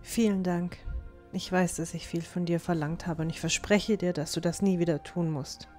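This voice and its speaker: A woman playing an earnest, gentle but resolute teenage runaway girl in an audio drama, speaking softly. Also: fairly low pitch, steady tone, normal speed, soft background music, close to an everyday voice. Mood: grateful, resolute. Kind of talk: acted audio drama dialogue.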